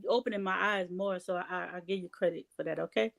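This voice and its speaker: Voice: medium pitch, steady tone, fast talker, gravelly, over a noisy crowd. A co-host in a casual podcast who often chimes in to agree and back up the host. The tone is conversational and encouraging. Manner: agreeable, frequent affirmations.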